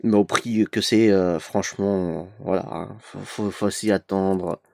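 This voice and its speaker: Young male vlogger, stuffy from a cold, unhurried and hesitant, dry close sound. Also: low voice, fairly even intonation, vocal fry, no room sound. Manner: hesitant, many "euh" fillers, chatty. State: has a cold. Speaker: YouTube collector opening an advent calendar. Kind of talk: casual unboxing vlog.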